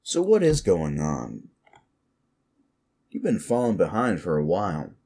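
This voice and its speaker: A man's voice: low voice, wildly swooping pitch, measured pace, mellow, full character voice. A man playing a smug, sleazy werewolf boss in an audio roleplay, talking down to the listener in a mocking, patronizing drawl. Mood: mocking, needling. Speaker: office boss.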